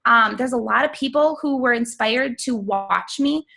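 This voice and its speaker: A woman's voice: a little high, normal speed, vocal fry, pushed and bright. An American woman giving a candid, conversational personal testimonial as a guest coach on an online call.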